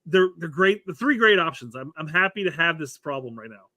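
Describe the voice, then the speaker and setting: A man's voice: fairly high pitch, expressive, a little fast, sharp tone, dry recording. A man co-hosting a casual soccer talk show, often stumbling and repeating words as he restarts. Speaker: co-host, former striker.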